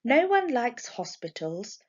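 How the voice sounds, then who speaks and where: A woman's voice: fairly high pitch, big pitch swings, takes it slowly, voice pushed up, heavily acted. Woman acting a firm, chiding storybook mother. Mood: weary, chiding. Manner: firm, scolding. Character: firm, patient mother chiding her young daughter. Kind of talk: storybook character voice. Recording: dull, lo-fi home recording.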